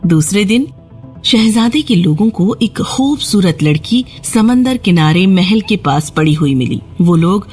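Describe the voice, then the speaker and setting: A woman's voice: mid-range voice, slightly rough. A woman storyteller giving a scripted narration of a children's fairy tale.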